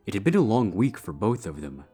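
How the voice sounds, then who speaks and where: A man's voice: on the low side, sing-song, fairly quick, mellow, slightly rough. A man giving a scripted narration of a story as its narrator. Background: background music.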